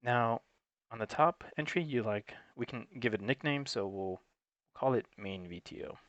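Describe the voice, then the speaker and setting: A man's voice: mid-range voice, animated, normal speed, fairly bright tone, dry recording, muffled recording. A man giving an unscripted, matter-of-fact, step-by-step tutorial in an instructional way. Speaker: technical support presenter.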